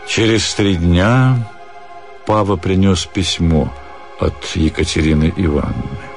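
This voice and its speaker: A man reading scripted literary narration in a measured way, with deliberate pauses. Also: low-pitched, gravelly. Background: music.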